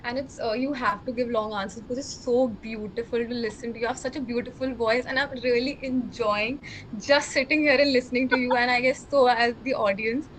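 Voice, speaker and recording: A woman's voice: a little high, fairly even intonation, a little fast, a little hiss or hum, low-quality recording. A woman hosting a college talk show, asking questions with admiring remarks. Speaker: student interviewer.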